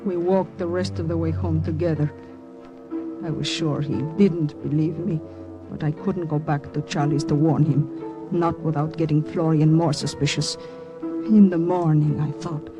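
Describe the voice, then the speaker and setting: A tense woman spy tells her story in vintage radio thriller style. She speaks with grave, deliberate drama. Music runs behind her on the old broadcast recording. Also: deep voice, steady tone, rough recording. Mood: grave, suspenseful. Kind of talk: 1940s-50s radio drama acting and first-person narration.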